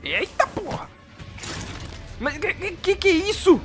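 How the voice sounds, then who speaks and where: A performer voicing a brash, goofy, excitable young man in a comic anime parody dub. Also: extremely high pitch, unhurried, exaggerated voice. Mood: shocked. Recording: music under the voice.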